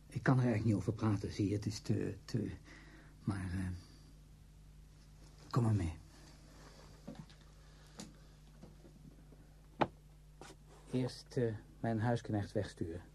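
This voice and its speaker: An actor playing a cold, self-absorbed man with a secret in a Dutch radio play, speaking huskily and deliberately. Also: fairly low pitch, vocal fry, dark tone, slight background noise. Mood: hesitant, uneasy. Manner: deliberate, halting when confessing. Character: cruel, self-absorbed male conductor with a secret, confiding.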